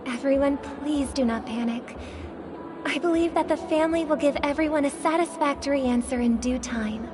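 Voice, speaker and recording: An actress voicing a poised young woman in a video game, calm and reassuring on top with a quiet, sardonic weariness underneath. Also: high-pitched, normal speed, lightly acted. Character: poised young woman, weary beneath a cheerful front. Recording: game audio with music.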